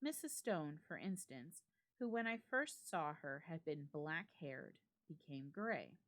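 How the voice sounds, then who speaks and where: Female reader for classic ghost story: slightly low register, highly animated melody, measured and expressive delivery. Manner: measured, expressive, deliberate phrasing with pauses. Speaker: library staff member reading a story aloud.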